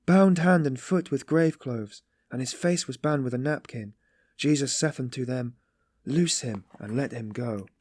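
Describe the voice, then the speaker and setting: A man's voice: fairly high pitch, moderate pace, darkish tone, no echo, clean studio sound, voice pushed up, natural voice. A man voicing a devout young woman who reads scripture aloud in a fervent, ringing voice.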